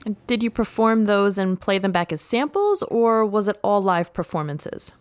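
A woman's voice: medium pitch, expressive, normal speed, dry recording. A woman interviewing a composer, asking curious, enthusiastic questions.

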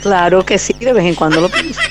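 A woman from Colombia answering radio interview questions, laughing often and replying warmly. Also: lowish voice, animated, fairly quick. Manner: giggly, laughs often, warm replies. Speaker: singer guest.

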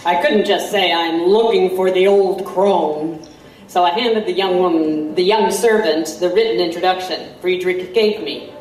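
A woman's voice: lowish voice, unhurried, slight background noise, lightly acted. A woman playing a candid reporter who narrates an audio drama in the first person, in a wry, confiding way. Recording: roomy, distant, loud music behind.

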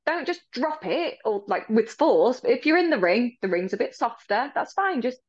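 Woman ring announcer, loud voice, chatty and giggly, very animated pitch, dry dull recording. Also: normal speed, no echo. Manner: bubbly, laughs often, animated retelling. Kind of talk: casual interview chat.

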